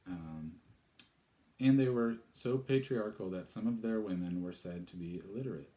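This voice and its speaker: Low-voiced man reading a novel aloud. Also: normal speed. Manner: relaxed, chatty asides. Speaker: storyteller. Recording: echoey room.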